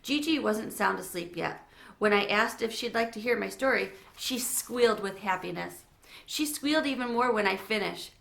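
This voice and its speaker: Bright, creaky-voiced woman reading aloud. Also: medium pitch, normal speed. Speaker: read-aloud reader. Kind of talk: children's storybook narration. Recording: echoey room.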